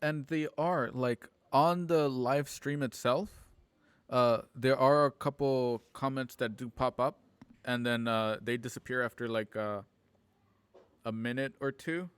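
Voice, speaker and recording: A man with a smooth, clear voice hosts a casual group chat from home. He explains things in a halting way, often repeating the start of a phrase. The sound is dry and close, with a middling pitch. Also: fairly even intonation, no echo. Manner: halting, repeats words as he goes. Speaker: host and producer of a streamed radio drama show. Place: at home on a group video call.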